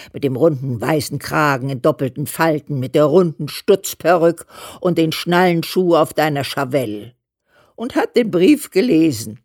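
A woman's voice: sits low, a little mellow, no room sound, studio quality, full character voice. An actress playing an earthy, humorous elderly mother with a Hessian accent, telling folksy letters in a radio play. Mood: teasing, fond. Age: older. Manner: leisurely, folksy storytelling.

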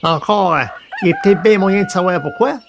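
A man plays an earnest Quebec farmer in a comic folk tale. The voice sits high, and the speech is rustic and hesitant, full of humble, folksy politeness. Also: a little fast, background noise. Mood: puzzled. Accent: Quebec French.

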